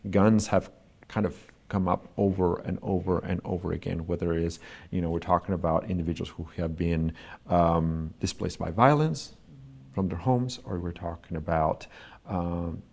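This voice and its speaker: A male artist giving thoughtful interview answers, pausing often to search for the right words. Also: low voice, creaky, darkish tone.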